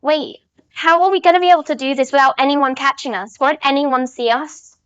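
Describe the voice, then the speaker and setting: Woman voicing anxious schoolgirl friend, high voice, concerned, muffled lo-fi mic, web drama. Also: fairly even intonation, fairly quick, no room sound, lightly acted. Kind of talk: voice-acted series dialogue. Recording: dull, lo-fi dry microphone.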